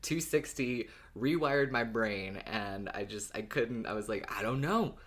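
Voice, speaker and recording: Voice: medium pitch, unhurried, a bit bright. Chatty podcast panelist, informal talker. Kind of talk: casual fan discussion.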